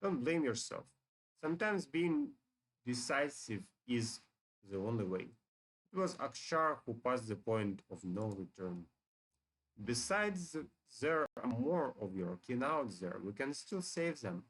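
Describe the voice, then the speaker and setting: A man voicing a wise, reassuring companion in a fantasy game, speaking gravely. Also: medium pitch, sing-song, measured pace, fairly bright tone, low-quality recording. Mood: consoling.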